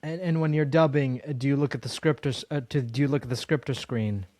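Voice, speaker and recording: A man with a medium-pitched voice talks as an interview host. His delivery is conversational and somewhat halting, circling back over phrases. The recording is dry, without room echo. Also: fairly even intonation, a little mellow.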